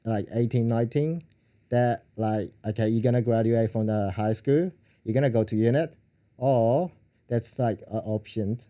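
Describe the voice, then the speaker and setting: A man in his thirties from China, speaking English with a Chinese accent, halting and searching for words as a podcast guest. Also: medium pitch, dark tone. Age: 30s.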